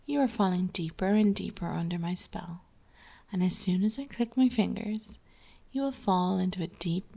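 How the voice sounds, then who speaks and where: A woman speaking softly in a slow, commanding, hypnotic way, often sliding into a half-whisper, as a scripted ASMR hypnosis roleplay. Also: lowish voice, creaky, breathy edge.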